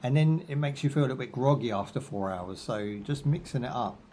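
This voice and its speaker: A man's voice: mid-range voice, expressive, brisk, dark tone, slight background noise. A young British man chatting casually while he plays a game live, rambling through jokes, asides and fillers. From United Kingdom. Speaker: gaming streamer. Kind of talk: casual live gameplay commentary.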